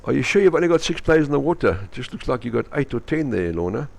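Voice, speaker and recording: A man's voice: mid-range voice, big pitch swings, fast talker, creaky, slight background noise. South African man commentating live sport. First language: Afrikaans. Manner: partisan, cheering on his team.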